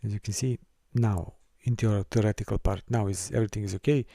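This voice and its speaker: A man from Serbia, teaching a course and walking through a software console, with careful, halting phrasing. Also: fairly low pitch, steady tone, vocal fry, no echo, studio quality. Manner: careful, halting delivery with small restarts and non-native phrasing. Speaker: course instructor. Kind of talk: screen-recorded software tutorial.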